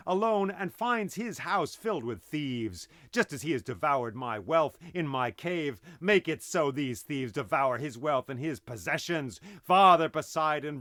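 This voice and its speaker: A man's voice: medium pitch, a bit bright, dry recording, studio quality. A man voicing a huge, vengeful one-eyed giant who has been blinded.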